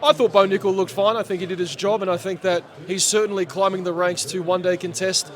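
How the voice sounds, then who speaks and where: Male MC, high-pitched, hoarse, animated guest in a sports bar chat. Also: fast. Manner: animated, chatty, laughs often. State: losing his voice. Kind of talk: post-fight reaction interview.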